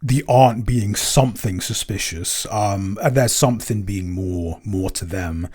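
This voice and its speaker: A man with a fairly low voice answers questions as a podcast writer. He speaks casually and hesitantly, often stammering at the start of words and pausing to find the next one. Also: a little mellow, slightly rough. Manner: hesitant, halting, stammers over word starts. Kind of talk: casual Q&A chat.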